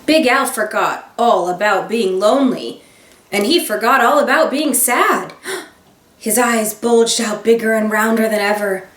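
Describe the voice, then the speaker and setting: Slow, expressive teacher reading a storybook. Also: female voice, mid-range voice. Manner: expressive storytelling with gasps and dramatic emphasis. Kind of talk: children's picture book read-aloud. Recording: roomy sound, voice a bit far from the mic.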